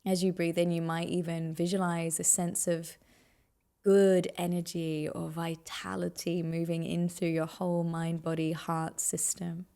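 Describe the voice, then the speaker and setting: Female meditation guide: very slow, soothing delivery with a gravelly fry at the edges. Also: fairly low pitch, darkish tone. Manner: soothing, unhurried instruction with pauses.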